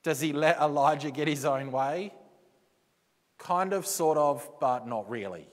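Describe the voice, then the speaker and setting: Man, pastor, sermon, unhurried delivery, long church reverb. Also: on the high side. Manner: slow, deliberate preaching with dramatic pauses and acted-out quotes.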